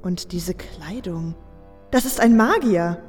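A woman's voice: on the low side, animated, slow pace, a little mellow, slightly rough, soft background music, heavily acted. An actress playing a curious, matter-of-fact female adventurer in a fantasy audio drama. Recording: long hall-like reverb.

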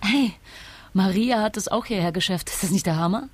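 An actress playing a grieving young mother in a radio drama, speaking intimately and wistfully in a breathy voice. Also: medium pitch, brisk, natural voice. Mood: brighter, excited. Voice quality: husky, breathy. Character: grieving young mother talking to her absent husband.

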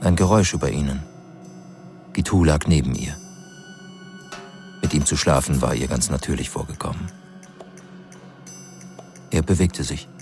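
A man narrating a scripted crime radio drama as a grave, sober storyteller with a measured delivery. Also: rumbling low, darkish tone, faint music behind. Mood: grave, tense.

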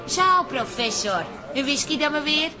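An actress playing a brisk, sardonic pub landlady with a Netherlands Dutch accent and a mocking manner in a radio play. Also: sits high, lightly acted. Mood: wry. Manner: wry, mocking, colloquial. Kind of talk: radio comedy-drama acting. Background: music.

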